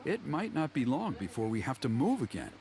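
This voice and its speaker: Expressive, earnest tribesman. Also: medium pitch, a little fast. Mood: solemn. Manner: formal, solemn storytelling phrasing. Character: earnest, dutiful tribesman seeking a ceremonial champion. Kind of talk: video game voice acting.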